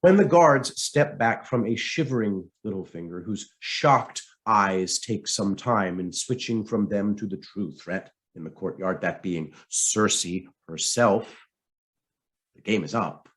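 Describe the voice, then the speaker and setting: A man reading a scripted essay aloud as narration, with a theatrical, emphatic delivery and deliberate pauses. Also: lowish voice. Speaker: reader of a political essay.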